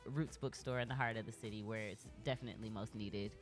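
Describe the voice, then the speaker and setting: A woman's voice: very deep voice, steady tone, fast talker. An American woman hosting a radio show in casual chat, with an informal, laid-back way of talking. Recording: music playing behind.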